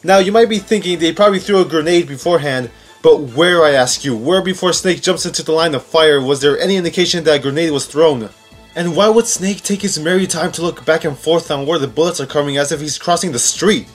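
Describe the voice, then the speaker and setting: A man's voice: a little high, a little fast. A man giving informal, sarcastic countdown commentary on video game scenes, often incredulous and swearing. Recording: music playing behind the voice. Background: background music.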